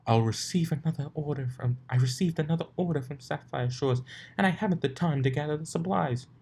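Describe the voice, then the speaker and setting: A man's voice: a little high, brisk, gravelly, darkish tone, husky, pitched above the performer's own voice. A man voicing a frantic female unicorn fashionista in a theatrical, pleading manner for a story reading. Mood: urgent, pleading.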